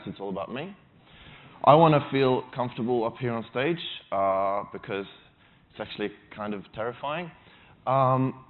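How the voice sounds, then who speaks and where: Swedish-accented male conference speaker, chatty and self-deprecating, thin lo-fi stage recording. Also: mid-range voice. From Sweden. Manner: conversational and self-deprecating, frequent restarts. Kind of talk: tech conference talk.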